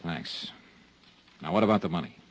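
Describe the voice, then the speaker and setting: A man's voice: low-pitched, normal speed, background noise, close to an everyday voice. An actor playing a dry, wry, streetwise male insurance investigator in a 1950s radio drama. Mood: firm. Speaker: lead investigator.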